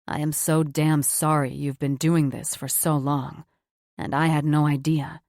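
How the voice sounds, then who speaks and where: A woman voicing a tender, remorseful man, a doctor, speaking low and earnestly. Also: little pitch movement, a little slow, a little mellow, no room sound, studio quality.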